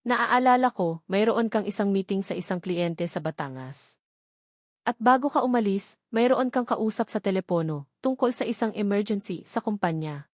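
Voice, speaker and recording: A woman's voice: mid-range voice, expressive, moderate pace, dry recording, clean studio sound, natural voice. A Filipino woman voicing a worried, devoted mother in a story, speaking earnestly and with concern.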